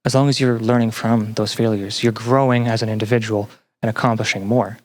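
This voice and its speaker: A young man answering as a podcast guest in an earnest, conversational way, haltingly repeating phrases as he thinks. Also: on the low side, level tone, darkish tone. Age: young adult. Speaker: podcast guest, student and teaching assistant.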